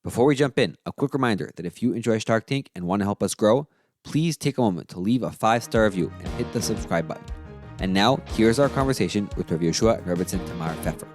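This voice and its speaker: A man's voice: lowish voice, animated, quick pace, a little mellow, faint music behind. A man hosting a podcast, reading a polished, upbeat scripted intro before interviewing his guests. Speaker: podcast host.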